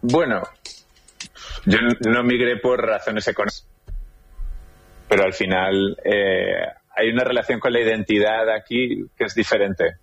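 A man's voice: lowish voice, fairly even intonation, unhurried, creaky, pauses often, slightly rough. A man calling in to a radio show, chatting casually and hesitantly, often repeating words. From Spain and Colombia. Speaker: caller. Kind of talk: casual phone-in chat. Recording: muffled lo-fi call line.

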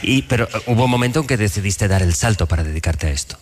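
Deep-voiced, quick Spanish radio host, male. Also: lively intonation, a little hiss or hum. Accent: Spain. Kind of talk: live radio interview and hosting. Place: live radio show in a venue before an audience.

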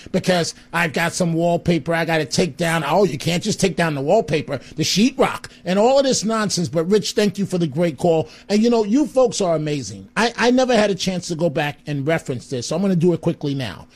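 A man's voice: a little high, expressive, a little fast, few pauses. A man hosting a call-in radio show, friendly and exclamatory, with rambling asides. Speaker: radio talk show host.

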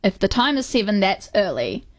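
A woman's voice: mid-range voice, very animated, moderate pace. A woman in her twenties chatting casually in a group debate, hedging and defending herself. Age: 20s. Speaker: show panelist.

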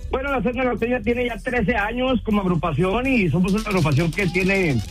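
A man from Durango, a band's singer speaking in a relaxed, rambling and friendly way on a phone-in radio interview. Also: high-pitched, a little fast, soft background music. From Durango, Mexico. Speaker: band vocalist, phone guest.

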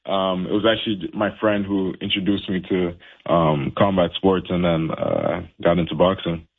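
A man's voice: low-pitched, little pitch movement, moderate pace. A young man from Haiti, a professional boxer, talking casually in an interview with lots of fillers and restarts. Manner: laid-back, many fillers and restarts. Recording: tinny phone line.

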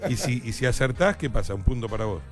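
A man's voice: medium pitch, lively intonation, fast, soft background music. A man with a Rioplatense Spanish accent hosting a radio show, asking his co-hosts question after question. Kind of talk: casual studio chat.

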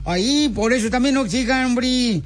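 Very high-voiced teasing radio host. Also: male voice, normal speed. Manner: teasing, playfully insulting. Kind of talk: joking radio banter.

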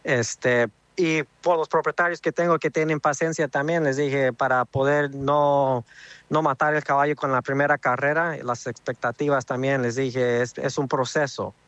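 A man's voice: medium pitch, steady tone, normal speed, fairly bright tone, no echo. Male horse trainer interviewee, casual, English fillers, dull close lo-fi audio. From Texas, United States. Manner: sprinkles English filler words into Spanish. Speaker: racehorse trainer being interviewed.

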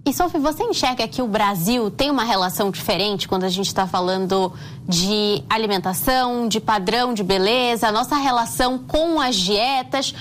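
A woman's voice: fairly high pitch, moderate pace, a bit bright, slight background noise. A woman hosting an interview, asking direct and inviting questions.

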